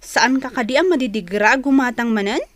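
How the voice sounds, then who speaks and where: A woman's voice: on the high side, a little fast, fairly bright tone, lightly acted. A woman with a Filipino accent playing a dutiful, honest fruit seller, respectful and matter-of-fact, in a radio drama. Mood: earnest. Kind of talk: Ilocano radio drama acting.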